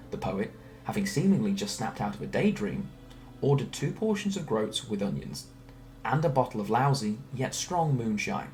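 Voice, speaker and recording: A man reading a scripted story aloud in a theatrical, expressive way. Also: mid-range voice. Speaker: storyteller reading fan narration. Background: background music.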